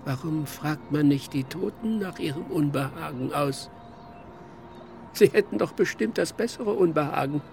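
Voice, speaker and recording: A man's voice: fairly high pitch, moderate pace, gravelly, a little mellow, slight background noise. An actor playing a weary, troubled man in a stylized radio play, plaintive in manner. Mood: troubled, reflective. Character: weary, troubled soul wanting to be left alone. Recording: studio radio drama with music behind.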